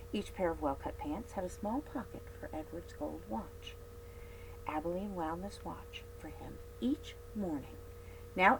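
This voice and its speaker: A woman librarian reading a children's chapter book aloud, expressive, dropping to whispers and adding chatty asides. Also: on the low side, slight background noise, poor audio. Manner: friendly chatty commentary between passages.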